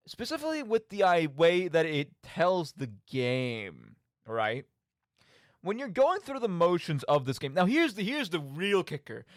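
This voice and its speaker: A man's voice: on the high side, no echo, clean studio sound. A man hosting a radio show solo, rambling casually and theatrically with conversational asides. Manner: animated, theatrical mock outbursts, conversational asides. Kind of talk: casual rambling monologue about video games.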